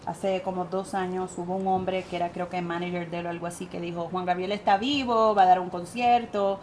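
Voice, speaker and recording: A woman with a Puerto Rican accent, a guest medium telling her story in an animated interview. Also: fairly low pitch, a little fast, slight background noise. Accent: Puerto Rican Spanish. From Puerto Rico.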